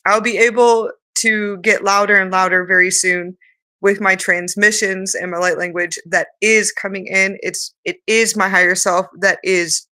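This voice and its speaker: A woman's voice: medium pitch, steady tone, normal speed, bright, forceful tone. A woman channeling in light language, chanting flowing rhythmic syllables between casual remarks, her voice sounding changed and strained. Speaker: spiritual channeler.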